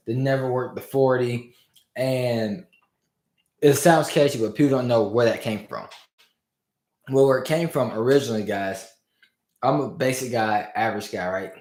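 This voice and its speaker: A man hosting a casual livestream as a business coach, talking in an energetic, informal way to his viewers, with a strained voice. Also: mid-range voice. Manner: energetic and informal, addresses viewers as "y'all" and "fam". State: strained voice after losing it. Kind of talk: casual motivational livestream talk. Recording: roomy echo.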